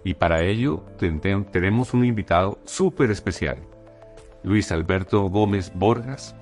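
Deep-voiced male podcast host. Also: darkish tone, faint music behind. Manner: measured, formal introductions. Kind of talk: podcast hosting and introductions.

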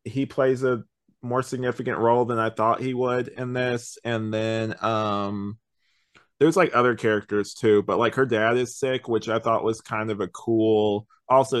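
Guy cohosting a review podcast, clean smooth voice, rambles along casually, chatty. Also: on the low side. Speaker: podcast host. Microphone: online video call recording.

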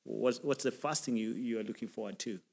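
A man's voice: medium pitch, a little fast, gravelly, darkish tone, slightly rough. A man with a Kenyan accent hosting a casual podcast interview, often repeating words as he talks. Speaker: podcast host.

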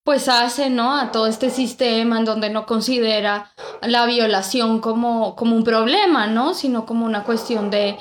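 A woman's voice: a little high, moderate pace, echoey room. A woman speaking as a guest in an academic discussion, hesitant and full of fillers and tag questions.

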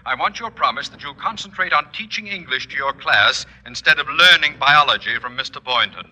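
An actor playing a pompous, irritable middle-aged school principal in an old radio comedy, scolding sternly. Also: male voice, mid-range voice, expressive, a little fast, bright, forceful tone. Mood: stern, sarcastic. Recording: noisy vintage radio recording.

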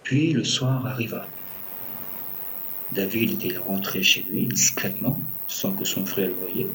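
A man narrating a scripted audio drama as a storyteller, in measured short phrases. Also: fairly low pitch, a bit bright, a little hiss or hum, low-quality recording. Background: music.

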